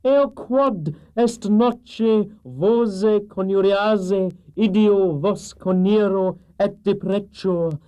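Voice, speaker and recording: A man's voice: high-pitched, mellow, clean tone, lo-fi sound, pitched above the performer's own voice. A man voicing a commanding evil witch in a children's storybook, with a harsh, droning, imperious delivery. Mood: ritual command. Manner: slow, imperious incantation. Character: commanding evil witch woman chanting dark spells.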